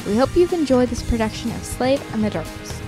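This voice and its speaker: A woman announcer reading the credits of an amateur radio drama in a formal, smooth way. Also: fairly high pitch, expressive, brisk, over music.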